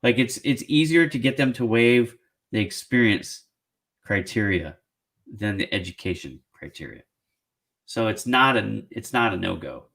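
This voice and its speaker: A man hosting a casual live-streamed Q&A on job searching, animated and joking, with quick restarts. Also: fairly low pitch, vocal fry. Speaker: career advice show host.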